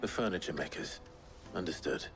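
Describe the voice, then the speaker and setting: A stoic hero in a fantasy video game. His voice is deep and restrained, with terse, earnest lines. Faint music sits underneath. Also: very animated, a little fast. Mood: resolute.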